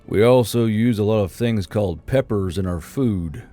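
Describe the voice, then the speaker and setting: A man's voice: fairly low pitch, normal speed, mellow, faint music behind, close to an everyday voice. A man voicing a polite, homesick soldier in an audiobook, murmuring his words. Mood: musing. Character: polite, homesick bearded human patrol soldier, husband and father. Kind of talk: audiobook character acting.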